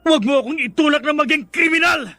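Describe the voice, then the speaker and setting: A woman's voice: sits high, quick pace, sharp tone, dry recording. An actress playing a furious woman at breaking point, menacing and melodramatic, in radio drama style.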